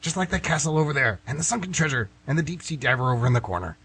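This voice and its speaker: A man's voice: medium pitch, very animated, very fast, a bit bright, a little hiss or hum. A man playing an exasperated, know-it-all goldfish, incredulous and scornful, in an amateur radio comedy.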